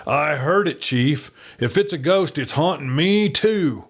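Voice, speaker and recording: A man's voice: on the high side, animated, unhurried, breathy edge, voice pushed up. A man plays a needling cowboy outlaw in a western audiobook. His voice is lifted above a narrator's, with wry, folksy frontier phrasing. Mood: shaken. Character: Hal Purvis, wry, needling male outlaw.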